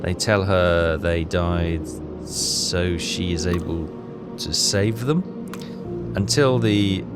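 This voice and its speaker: A man thinking aloud as he plays a puzzle game, talking in a halting, self-questioning way. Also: deep voice. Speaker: let's player. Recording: loud game music behind voice. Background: game music.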